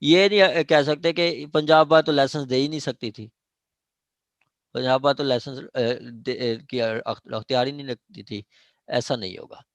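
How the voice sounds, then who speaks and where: पाकिस्तानी लहजे वाला पुरुष लॉ लेक्चरर, सूखी आवाज़. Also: male voice, medium pitch, normal speed, no echo. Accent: Pakistani. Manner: explanatory, with frequent check-in tags and examples. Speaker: law lecturer. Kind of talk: online law lecture, mixing Urdu with English legal terms.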